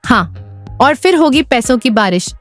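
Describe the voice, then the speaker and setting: Woman acting a high-voiced, bossy greedy wife. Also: animated, moderate pace, soft background music. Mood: scheming, bossy. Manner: scolding, sarcastic, commanding. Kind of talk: Hindi cartoon voice acting.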